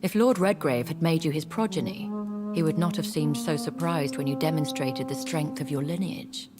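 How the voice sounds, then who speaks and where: Game role: woman playing aristocratic immortal vampire lady, very low register, poised and teasing, music underneath. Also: normal speed, natural voice. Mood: warm. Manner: formal, poised, gently teasing. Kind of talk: dramatic video game acting.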